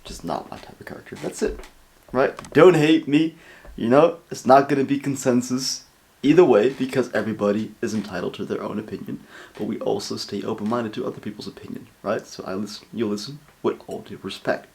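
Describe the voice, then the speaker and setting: A man's voice: medium pitch, brisk, vocal fry, a little mellow. A man hosting a reaction video, chatting and rambling casually to his viewers.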